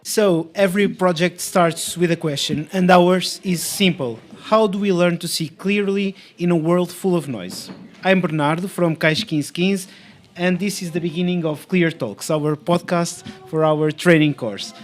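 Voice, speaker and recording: Higher-voiced young man hosting. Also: normal speed, soft background music. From Portugal. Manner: friendly, asks short questions. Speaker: podcast host. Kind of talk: podcast discussion.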